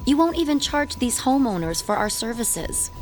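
An actress playing a young, practical wife who coaxes in a polished, persuasive way, in a horror radio drama. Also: female voice, on the high side, big pitch swings, normal speed, darkish tone, a little hiss or hum. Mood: coaxing, concerned. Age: young adult. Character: young, practical, coaxing wife of a ghost hunter.

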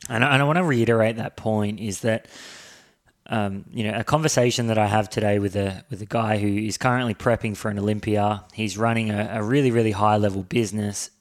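A man hosting a podcast, introducing the episode with a sore throat and a hoarse voice, rambling with many fillers and restarts. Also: fairly low pitch, fairly even intonation, a little fast. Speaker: podcast host.

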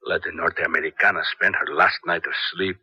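An actor playing a stern, scheming army colonel with a Spanish accent, measured and commanding, in a radio drama. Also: male voice, low-pitched, flat delivery, sharp tone, slightly rough, exaggerated voice. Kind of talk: 1970s radio drama acting. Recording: dull lo-fi old radio broadcast.

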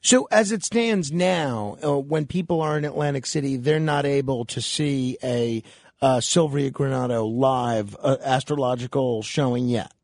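Gravelly-voiced male radio host. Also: medium pitch, a little mellow, no echo, clean studio sound. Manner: halting, many uh fillers. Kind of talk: interview.

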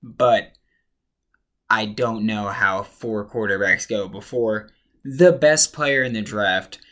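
A man hosting a sports podcast, chatty and opinionated, his voice slightly hoarse from talking a lot. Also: fairly low pitch, takes it slowly, a bit bright. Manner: chatty and opinionated, thinks aloud. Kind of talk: casual sports commentary.

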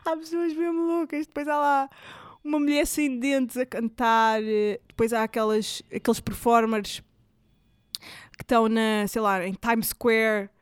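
A woman's voice: on the high side, steady tone, a little slow, clear, resonant tone, no echo, studio quality. A woman with a European Portuguese accent, hosting a podcast and chatting casually, rambling with lots of fillers and English words. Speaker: podcast host. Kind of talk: casual chat recommending videos.

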